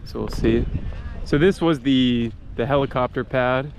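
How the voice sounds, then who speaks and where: A man hosting a travel vlog, with an ordinary middle-pitched voice. He talks casually and loosely, full of fillers, as he questions his guide. He records outdoors in the city, with plain street noise behind him. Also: unhurried. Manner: casual, filler-heavy, interviewing. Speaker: travel vlogger.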